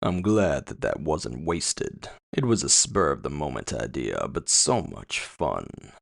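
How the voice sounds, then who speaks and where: A man voicing a gloating masked villain in audio fiction, with a hollow murmur and slow, mockingly courteous boasting. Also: very deep voice, very animated, vocal fry, pitched below the performer's own voice, exaggerated voice. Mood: smug, gloating. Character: gloating, theatrical masked male villain with mind-control powers.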